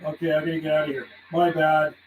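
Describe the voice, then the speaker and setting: Brief: chatty radio hobbyist man from western Canada, talks call signs and jargon, pitched a bit high, faint hiss behind. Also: little pitch movement, quick pace, narrow, tinny audio. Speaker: CB radio operator streaming live. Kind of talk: casual on-air radio chat.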